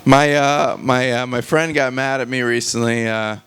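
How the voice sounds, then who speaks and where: A man performing conversational stand-up at a live open mic, animated and sweary, often checking in with the audience using short questions. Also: medium pitch, moderate pace. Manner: animated, swearing, frequent rhetorical check-ins to the crowd. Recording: clearly noisy live open mic recording.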